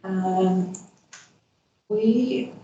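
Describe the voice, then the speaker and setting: A woman chairs a formal board meeting, moving through procedure slowly and deliberately with small hesitations. She sounds distant from the microphone in a very echoey room, with a faint hiss. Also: medium pitch, dark tone, dull audio.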